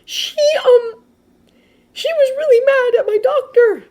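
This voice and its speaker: An anxious, earnest teenage boy from an audiobook, acted by a woman reader. The voice sits very high, far above the reader's own, with a hesitant, stammering and emphatic delivery. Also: takes it slowly, a little mellow. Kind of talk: audiobook character voice.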